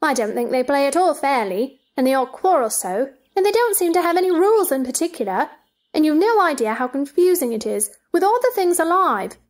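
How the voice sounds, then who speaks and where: Woman playing a high-pitched, earnest little girl. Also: animated, a little fast. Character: earnest, puzzled, polite young girl Alice. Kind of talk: audio play acting.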